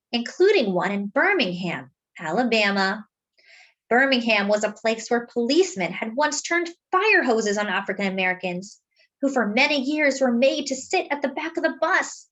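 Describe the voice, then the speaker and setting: A woman reading a children's picture book aloud, with dramatic, deliberate phrasing and drawn-out words. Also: fairly high pitch, fairly bright tone, rough recording. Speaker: children's story reader.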